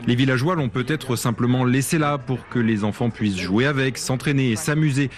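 Mid-pitched man reading interview voice-over. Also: a little fast. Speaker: voice-over translator. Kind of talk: read translation of interview.

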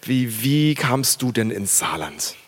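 A man's voice: mid-range voice, sing-song, slow. A man hosting a live concert, announcing performers and interviewing a student on stage in an upbeat way. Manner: upbeat, announcing names and calling for applause. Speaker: concert host.

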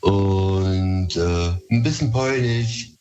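A man's voice: sits low, extremely slow, dark tone, highs cut off. A man from Berlin with Turkish as his first language, calling in to a radio show and talking hesitantly with many fillers. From Berlin, Germany. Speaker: caller. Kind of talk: phone-in conversation.

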